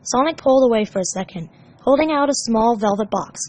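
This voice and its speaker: Female storyteller for scripted fiction narration, voice sitting fairly high. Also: lively intonation, fairly quick, a little mellow. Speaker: fan fiction reader and narrator.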